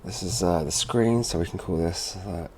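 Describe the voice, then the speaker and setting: A man giving an informal software tutorial, thinking aloud as he works at a computer. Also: low-pitched, normal speed, a little hiss or hum. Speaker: tutorial presenter for a security products seller. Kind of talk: casual software walkthrough narration.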